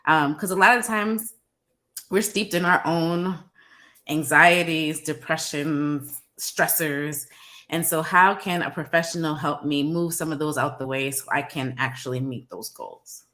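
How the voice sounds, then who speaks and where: A woman from New York, a psychologist guest on a video call, calm and measured with frequent pauses. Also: sits low. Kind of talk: interview over video call.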